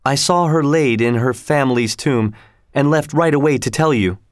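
A man's voice: mid-range voice, moderate pace, dry recording, studio quality, natural voice. A man reading aloud, voicing a young servant who speaks respectfully and apologetically. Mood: deferential. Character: young male servant, loyal and deferential.

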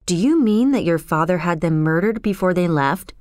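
A woman's voice: lowish voice, animated, normal speed, a little mellow, no room sound, clean studio sound, natural voice. A woman storyteller voicing an earnest young man, a shocked suitor who speaks with incredulous indignation. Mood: horrified.

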